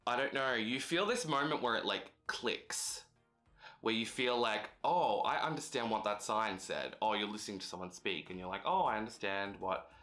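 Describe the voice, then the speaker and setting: Bright-toned chatty young man. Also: lowish voice, normal speed, soft background music. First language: English. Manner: chatty, conversational, frequent fillers. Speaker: vlogger. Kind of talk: casual advice vlog.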